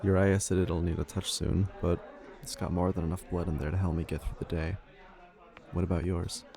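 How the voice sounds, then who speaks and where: A man's voice: sits low, flat delivery, brisk, creaky. A man playing a wry, cheeky supernatural associate in an audio roleplay drama, speaking casually and with slang. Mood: casual, upbeat. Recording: loud crowd chatter behind voice.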